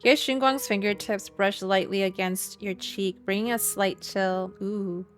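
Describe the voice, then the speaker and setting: A woman's voice: medium pitch, steady tone, measured pace. A woman game streamer reacting casually and reading game text aloud in a playful, informal way. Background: faint game music.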